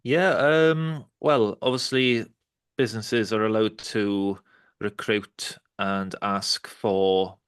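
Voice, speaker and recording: A man's voice: lowish voice, fairly even intonation, takes it slowly, smooth, no echo, studio quality. A man advising businesses in an online panel over a video call. He talks hesitantly, with many ums and restarts. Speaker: Welsh language support officer.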